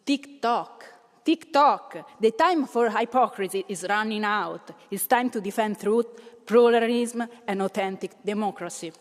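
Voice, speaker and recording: A woman's voice: mid-range voice, measured pace. Declamatory woman in an echoing chamber. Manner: declamatory, with small slips. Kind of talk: delivering a prepared speech. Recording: long reverb of a large chamber.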